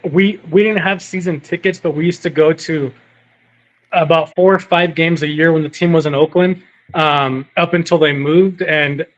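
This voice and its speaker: A man from California calling in to a sports talk show, rambling casually, with lots of restarts. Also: a little high. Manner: rambling, lots of fillers and restarts, says dude. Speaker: caller. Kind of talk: casual chat on a call-in show. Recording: muffled phone-in audio.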